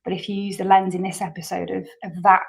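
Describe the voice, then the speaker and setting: A woman hosting a chatty podcast at a mid-range pitch. She sets up the conversation earnestly, circling back and repeating words as her thoughts build. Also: level tone, quick pace, creaky. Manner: earnest, rambling intro with many repeats. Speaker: podcast host. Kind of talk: casual interview chat.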